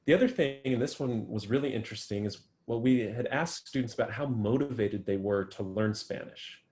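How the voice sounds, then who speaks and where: A man's voice: mid-range voice, fairly quick, a little mellow. A man answering interview questions about his research, thoughtful and full of ums and restarts. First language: English. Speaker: guest researcher.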